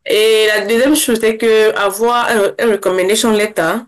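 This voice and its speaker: Brief: young Cameroonian woman, interview guest, chatty, quick, restarts a lot, middle-pitched voice. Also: steady tone. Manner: fast, with many restarts. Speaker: interview guest, former scholarship holder. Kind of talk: casual interview.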